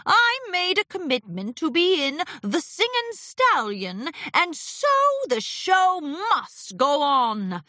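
A woman's voice: high-pitched, big pitch swings, slow, hardly any pauses, pushed and bright, no room sound, studio quality, pitched above the performer's own voice, heavily acted. A woman voicing a theatrical, wounded male chaos creature who speaks in a haughty, florid way. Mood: haughty, dramatic. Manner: haughty, melodramatic, florid wording.

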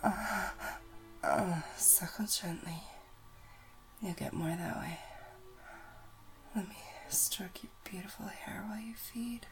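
Slow soft-whispering woman, gravelly edge. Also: fairly low pitch, poor audio. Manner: soft breathy whispering, tender and coaxing. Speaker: audio roleplay performer. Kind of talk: whispered intimate audio roleplay. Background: music.

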